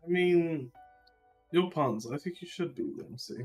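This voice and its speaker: A man's voice: fairly high pitch, animated, moderate pace, a little mellow. A man chatting casually as a co-player in a group game playthrough. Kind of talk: casual banter. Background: background game music.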